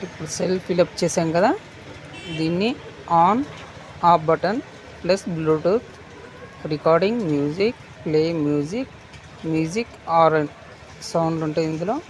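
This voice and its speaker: A man's voice: on the high side, a little slow, pauses often, slight background noise, low-quality recording. A man gives an informal, step-by-step product demonstration, often repeating phrases as he instructs. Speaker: product unboxing presenter.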